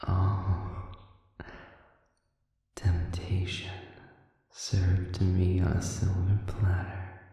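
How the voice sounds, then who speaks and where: Someone doing a sadistic male demon in a cell, low breathy taunting voice, super formal, big cavernous echo. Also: monotone, mellow, full character voice. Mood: ominous, taunting. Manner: formal, measured, sardonic, often dropping to breathy whisper. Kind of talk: slow audio roleplay monologue addressed to listener. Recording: cavernous echoing reverb, distant and muffled.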